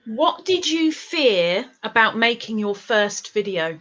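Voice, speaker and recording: Woman host, high and very lively voice, slow delivery, teasing and playful. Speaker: live-stream interview host. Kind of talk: casual live-stream interview.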